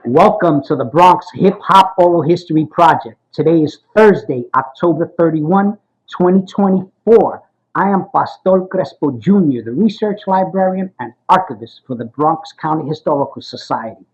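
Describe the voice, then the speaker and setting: A man's voice: a little high, moderate pace, dull audio. A man working as an archivist and interviewer for an oral history project, friendly and affirming, often saying "got it" and "awesome.".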